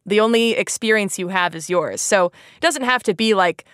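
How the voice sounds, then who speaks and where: A woman's voice: mid-range voice, moderate pace, a bit bright, clear, resonant tone, no room sound, clean studio sound. A woman hosting a casual educational podcast, chatting informally with plenty of "like" and an easy laugh. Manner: giggly, laughs easily. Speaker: podcast host.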